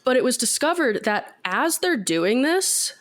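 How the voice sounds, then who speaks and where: A woman's voice: high-pitched, very animated, measured pace. A woman with a cold sounding congested, chatting on a podcast as she explains a science fact with lots of fillers. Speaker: podcast co-host.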